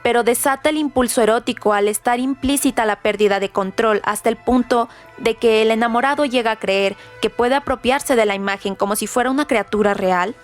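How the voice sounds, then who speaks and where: A woman's voice: fairly high pitch, brisk, few pauses, faint music behind. A woman narrating scripted literary commentary in a formal, rhetorical manner.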